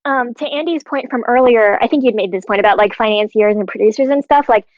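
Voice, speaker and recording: A woman's voice: a little high, fast, dry recording, dull audio. A woman in a casual panel discussion, talking conversationally with tentative phrasing and many filler "likes".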